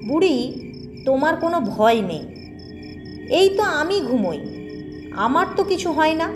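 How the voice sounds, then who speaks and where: Casting: woman narrator as young male medical student, reassuring, lightly dismissive, high lively voice, ghost story audio. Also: a little slow, over music.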